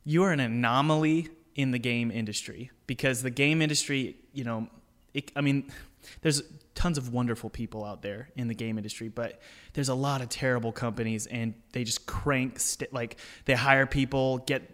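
A man's voice: medium pitch, fairly even intonation, normal speed, fairly bright tone. Chummy podcast host guy. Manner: enthusiastic, chummy, says dude. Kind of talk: casual interview chat.

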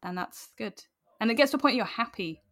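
A British woman in her late twenties talking candidly and informally as an interview guest, with an animated, emphatic manner. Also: on the high side, fairly quick. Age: late 20s. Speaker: podcast guest, writer.

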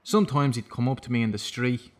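A man's voice: medium pitch, quick pace, close to an everyday voice. A man acting a guarded, sardonic young prisoner. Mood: dry, sardonic. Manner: evasive, deflecting, sarcastic. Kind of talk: naturalistic audio drama acting.

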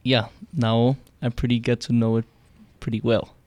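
Even-toned young man with a German accent. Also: medium pitch, moderate pace, dry recording. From Cologne, Germany. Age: teens. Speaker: interview guest, exchange student. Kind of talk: casual interview answers.

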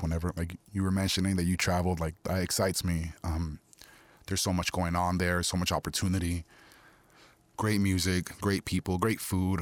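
A man who grew up in New York speaking Spanish first talks as a musician guest in an interview, halting and full of fillers. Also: deep voice, no room sound. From Queens, New York City, US. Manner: relaxed, halting, full of fillers like "you know" and "um". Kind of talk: casual interview talk.

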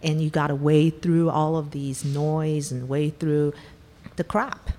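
A woman's voice: sits low, fairly even intonation, takes it slowly, mellow, big-room sound. A middle-aged woman from Taiwan, a venture investor telling her startup story in an interview, candid and blunt. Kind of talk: conversational interview.

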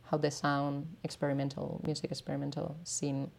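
A Spanish woman with a Spanish accent, a native Spanish speaker, chatting as a guest in a casual interview, self-deprecating and quick to laugh. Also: deep voice, monotone, creaky, a little mellow, rough recording. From Spain. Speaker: artist and researcher guest.

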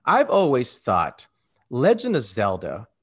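A man's voice: medium pitch, huge pitch swings, slow pace, slightly rough. A man in his forties talking casually and emphatically as a podcast co-host, like an enthusiastic fan. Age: 40s. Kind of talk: casual chat.

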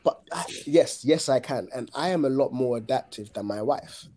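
A man's voice: mid-range voice, animated, normal speed, crowd noise. A man with a London accent chatting casually as a podcast co-host, dropping in local slang. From Ghana and London. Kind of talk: casual chat.

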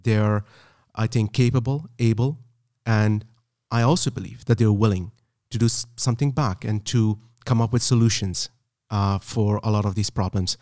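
A man hosting a podcast, talking earnestly with many pauses and fillers. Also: lowish voice, a little mellow, no echo, studio quality. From Afghanistan. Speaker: podcast host. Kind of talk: casual podcast conversation. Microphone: podcast microphone.

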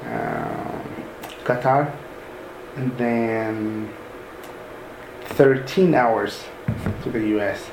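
A man in his thirties from Sudan, softly recalling his move abroad in an unhurried way with many pauses. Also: mid-range voice. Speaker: interviewee. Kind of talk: casual personal recollection. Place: room in a mosque. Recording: echoey room with ambient noise.

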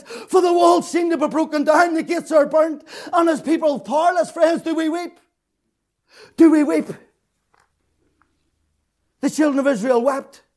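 A man preaching an impassioned sermon, repeating his phrases, swinging from shouted peaks to hushed, tearful lows. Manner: fervent, repetitive, rising to shouts then dropping low.

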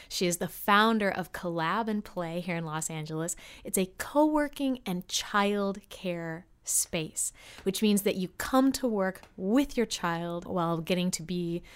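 A woman's voice: on the low side, clean tone. A woman hosting a podcast who welcomes her guest and asks questions, slipping in fillers as she goes. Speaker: podcast host. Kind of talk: podcast interview.

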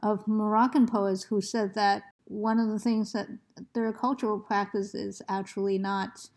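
A woman hosting an interview, asking questions slowly and thoughtfully with frequent hesitations. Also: medium pitch, little pitch movement, darkish tone. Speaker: interviewer host. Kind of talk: conversational interview.

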